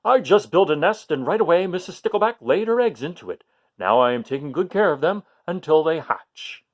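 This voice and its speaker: A man's voice: a little high, very animated, brisk, pitched above the performer's own voice. Man voicing a proud, delighted father stickleback. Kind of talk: animated picture-book read-aloud.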